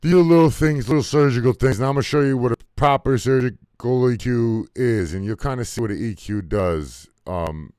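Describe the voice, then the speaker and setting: A man with a deep voice, talking loosely through a music mixing tutorial with fillers and casual swearing. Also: a little fast, hardly any pauses, a little mellow, smooth, no room sound, studio quality. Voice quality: deep voice, muffled by a faulty mic input. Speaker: music producer teaching mixing. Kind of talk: casual screen-recorded tutorial. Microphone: desktop mic into recording software.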